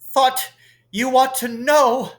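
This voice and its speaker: A man voicing a terrified male professor for a storybook, stammering and gasping in a high voice. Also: steady tone, takes it slowly, fairly bright tone, heavily acted.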